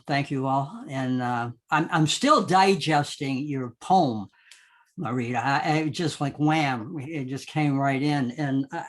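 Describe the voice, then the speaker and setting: Effusive, halting man on a panel. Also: on the high side. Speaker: roundtable panelist. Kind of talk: heartfelt unscripted remarks.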